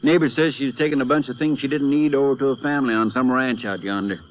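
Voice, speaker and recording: An actor playing a kindly, homespun small-town Texas sheriff, sympathetic and conversational, in a 1950s western radio drama. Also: male voice, medium pitch, steady tone, quick pace, narrow, tinny audio, close to an everyday voice. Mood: saddened, fond. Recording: noisy old radio broadcast recording.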